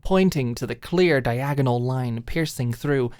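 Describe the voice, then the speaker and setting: A woman's voice: extremely low pitch, a little slow, darkish tone, smooth, no echo. A woman narrating scripted fiction as an ASMR audiobook storyteller.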